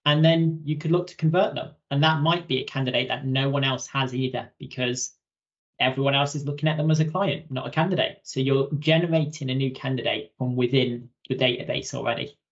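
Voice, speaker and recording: A young woman giving an informal software tutorial as a webinar trainer. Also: a bass voice, fairly even intonation, a little fast. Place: indoors on a video call. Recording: dull video call audio.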